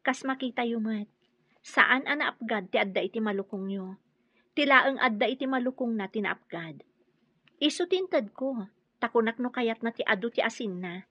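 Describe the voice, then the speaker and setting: An actress playing a meek, wronged young daughter-in-law in an Ilocano radio drama, plaintive and earnest, with an Ilocano accent. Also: female voice, a little high, lively intonation, normal speed, dry recording, lo-fi sound. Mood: hurt, pleading.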